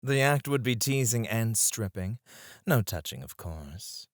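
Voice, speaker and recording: A man acting a flirtatious, self-assured male stripper in an ASMR roleplay, suave and teasing, with breathy asides, close on the microphone. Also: lowish voice, lively intonation, unhurried, dry recording. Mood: businesslike flirting. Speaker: ASMR voice actor.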